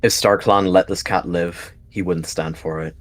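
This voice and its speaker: A man's voice: low-pitched, steady tone, moderate pace. A man giving dramatic third-person narration of his character in a roleplayed story.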